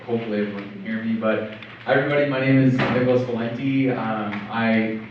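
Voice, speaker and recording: A man's voice: medium pitch, fairly even intonation. An upbeat young man talks to students on a panel, tagging his points with "right?". He sounds far from the mic in a roomy space. The sound is dull, with faint noise behind him. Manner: upbeat, quick, says right a lot. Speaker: engineering panelist, professor. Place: college cafe panel.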